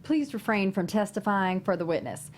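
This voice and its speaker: A woman's voice: mid-range voice, expressive, normal speed, slight background noise, natural voice. A woman playing a stern, no-nonsense trial judge in a courtroom drama table read.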